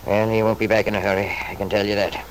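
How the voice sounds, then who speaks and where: A man's voice: lowish voice, little pitch movement, fast talker, close to an everyday voice. An actor playing a stubborn, selfish middle-aged father in an old-time radio soap, blustering and self-justifying in an emphatic way. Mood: irritated, grumbling. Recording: noisy vintage radio drama recording.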